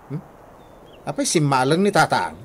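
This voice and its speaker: Man acting a cheeky Filipino son, wildly swooping pitch. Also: big pitch swings, slow. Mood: teasing, whiny. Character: cheeky, hard-drinking grown son, joking, whiny. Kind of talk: Filipino radio comedy drama acting. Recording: noisy background.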